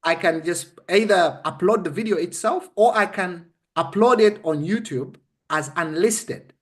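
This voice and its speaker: Ugandan male, high-pitched for a man. Conversational coach, instructive and emphatic, checks the listener follows. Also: measured pace, fairly bright tone. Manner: emphatic, instructive, checks understanding with short questions. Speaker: interview guest explaining a method.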